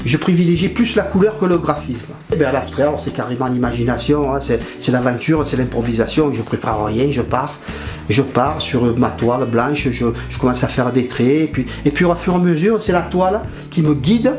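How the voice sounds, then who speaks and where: A man's voice: mid-range voice, fairly quick. An older man from France, a painter talking casually in his studio, hesitant and often repeating his words. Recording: roomy studio with music over it. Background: guitar music.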